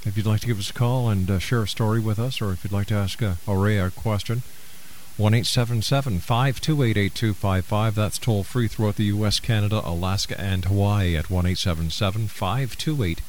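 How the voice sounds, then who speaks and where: A man's voice: fairly low pitch, brisk, a little hiss or hum. A man hosting a radio talk show, steadily reading out phone numbers and station plugs between segments.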